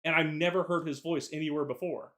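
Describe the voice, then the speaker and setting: A man's voice: on the high side, fairly even intonation, fairly quick, a bit bright. A man from the South, talking in a rambling, opinionated way on a casual podcast. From Southern United States. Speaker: podcast co-host. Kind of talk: casual chat.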